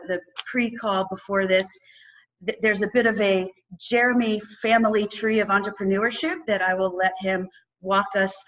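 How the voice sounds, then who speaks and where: A woman hosting a business podcast, energetic and chatty, laughing often as she introduces her guest. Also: mid-range voice, muffled recording. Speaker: podcast host. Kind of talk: upbeat interview podcast hosting.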